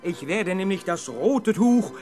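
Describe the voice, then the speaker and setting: High-pitched, boastful fairground magician. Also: male voice, lively intonation, unhurried, darkish tone, soft background music. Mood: grandly mysterious. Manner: theatrical showman patter. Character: showy fairground magician, jovial and boastful adult man. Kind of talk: 1970s German children's radio play acting.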